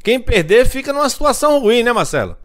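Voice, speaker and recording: A man's voice: very high voice, sing-song, brisk, a bit bright. A man hosting as a sports reporter, asking direct, informal interview questions. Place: Recife, Brazil.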